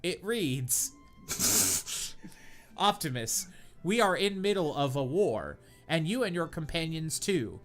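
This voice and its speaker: A man co-hosting a comedy podcast, reading bad fanfiction aloud in a deadpan voice that keeps cracking into laughter. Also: on the high side, takes it slowly, pauses often, faint music behind. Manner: reads deadpan, breaks into laughter.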